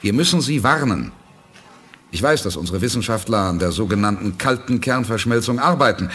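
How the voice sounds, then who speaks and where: A man playing a calm, resolute space commander in a 1980s German radio play, firm and earnest in his delivery. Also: fairly low pitch, brisk, husky. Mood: urgent, determined. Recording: noisy 1980s radio drama production.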